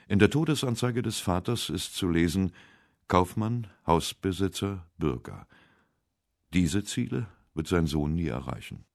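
A man narrating a scripted literary radio essay with measured, deliberate phrasing. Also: rumbling low, darkish tone, dry recording, clean studio sound. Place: dry radio studio.

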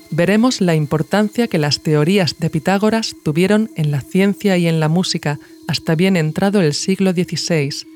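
A woman with an accent from Spain reads a scripted documentary essay in a measured, reflective, formal manner. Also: on the low side, a little mellow. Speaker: podcast narrator and author.

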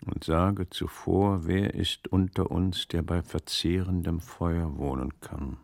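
Low, gravelly, brooding magistrate. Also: male voice, steady tone, takes it slowly, a little mellow, no echo, studio quality, full character voice. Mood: brooding, weary. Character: troubled learned magistrate, brooding and doubt-ridden. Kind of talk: German radio play acting.

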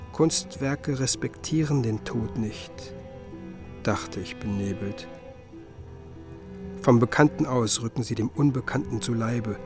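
An actor playing a pensive musicologist who tells his story in a literary radio drama, reflective and measured. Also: mid-range voice, lightly acted. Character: pensive male musicologist, wistful first-person storyteller.

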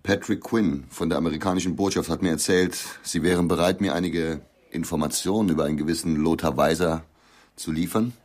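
An actor playing a polite, persistent investigator who asks measured questions in a radio drama. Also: male voice, low voice, steady tone, a little mellow. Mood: measured, probing.

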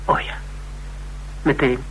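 An actor playing a polite, inquisitive interviewer in a radio drama, probing with courteous, lightly amused questions. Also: male voice, takes it slowly, fairly bright tone, rough recording. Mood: curious, lightly amused. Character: polite, inquisitive male interviewer sizing up a recruit. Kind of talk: naturalistic Dutch radio drama acting. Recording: radio recording with background hiss and hum.

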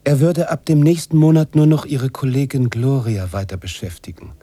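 An actor playing a weary, brooding writer who narrates a literary radio drama in a musing, halting, husky way. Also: male voice, mid-range voice, no echo, lightly acted. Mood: brooding, emphatic. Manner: musing, halting, drops into hushed tones. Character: weary, brooding bearded male writer narrating his story.

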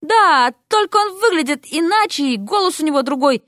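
A high, closely recorded voice of a young female frog from a storybook, played by a woman. She is bossy and blunt, scolding everyone around her with exasperated sarcasm. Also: lively intonation, normal speed, fairly bright tone, no room sound, clean studio sound. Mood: bossy, irritated.

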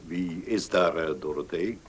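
A man playing a formal, courteous notary with a husky voice in a Dutch radio play. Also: sits low, measured pace, a little hiss or hum.

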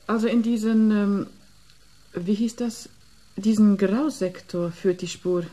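A cool, determined agent in a radio drama. The voice is mellow and mid-pitched, and the delivery is composed, businesslike and assertive. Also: female voice, fairly even intonation, slow pace. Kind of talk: German radio play acting.

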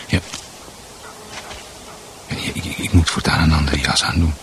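An actor playing a bewildered, meekly polite husband losing his grip in a radio drama, muttering haltingly and repeating himself. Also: a bass voice, steady tone, fairly bright tone, slight background noise, heavily acted. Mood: muttering, puzzled.

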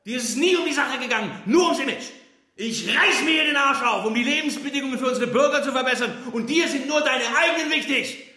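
A man's voice: very high-pitched, fast talker. An actor playing a self-righteous, bitter male politician in a heated marital row in a radio drama, recorded in a roomy interior. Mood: furious. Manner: ranting, bitter, forceful. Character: self-righteous, hen-pecked male politician boiling over. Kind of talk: dramatic German radio play acting.

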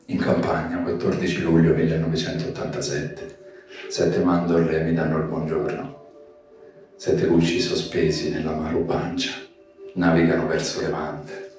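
A man with a deep voice gives a literary poetry reading for radio theatre. He takes his time, phrasing each line solemnly. The sound is heavily reverberant, with music behind him. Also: darkish tone. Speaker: poetry reader. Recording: very echoey, distant, music behind. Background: music bed.

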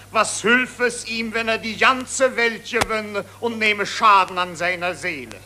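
Earnest indignant moralist giving a speech, old radio play, tense bright voice, bit of noise. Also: male voice, high voice, full character voice. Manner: measured, grave, indignant oratory. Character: earnest moralist dissenter rebuking wartime greed before an assembly. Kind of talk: 1940s radio drama political speech. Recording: faintly noisy 1940s radio recording.

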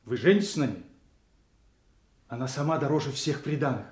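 A man's voice: mid-range voice, moderate pace, lightly acted. A man playing a gallant, earnest young king in a declamatory, noble classical radio drama. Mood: questioning, earnest. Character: gallant, earnest king of France, courting suitor. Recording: echoey studio radio play.

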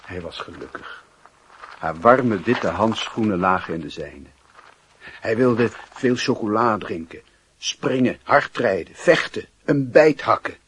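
A man's voice: lowish voice, expressive, unhurried, a bit bright, a little hiss or hum. A man narrating a radio play in a scripted, literary style, as a sombre storyteller. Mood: solemn, literary. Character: sombre literary male narrator of a boy's youth.

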